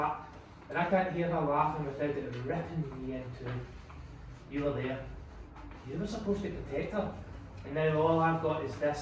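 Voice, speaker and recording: A man's voice: fairly high pitch, close to an everyday voice. Bereaved brother, male, thirties, acted by a man, sorrowful and accusing film drama role, very echoey room. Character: grief-stricken, accusing brother in his late thirties. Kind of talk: dramatic table-read acting. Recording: echoey room, distant mic, faint noise.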